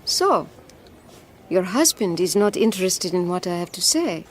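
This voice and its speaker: A woman playing a composed, probing spiritualist fortune teller in an audio drama, speaking in a deliberate, knowing manner. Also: on the low side, very animated, a little hiss or hum.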